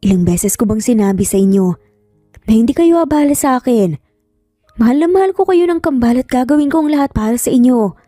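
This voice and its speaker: A woman storyteller plays a devoted young Filipino man in an audio romance drama. He speaks gently and reassuringly in a mellow tone, with roomy echo around the voice. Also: fairly high pitch, expressive, fairly quick, studio quality, close to an everyday voice. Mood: tender, reassuring. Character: caring, devoted young man, loyal best friend. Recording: roomy echo, faint music behind.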